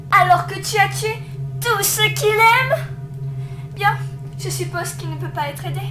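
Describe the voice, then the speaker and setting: A woman's voice: extremely high pitch, rough recording. A woman voicing a bitter, taunting demonic child spirit in a theatrical, intense comic dub. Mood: mocking. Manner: mocking, theatrical, emotionally intense. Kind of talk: amateur comic dub acting.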